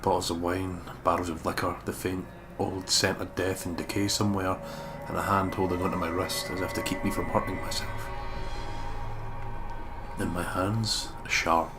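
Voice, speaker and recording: Actor as a low-voiced, brooding male detective. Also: little pitch movement, normal speed, over music, close to an everyday voice. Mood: somber, tense. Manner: grave, somber. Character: brooding, anguished male detective narrating his inner thoughts. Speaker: detective protagonist and narrator. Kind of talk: dramatic first-person game narration.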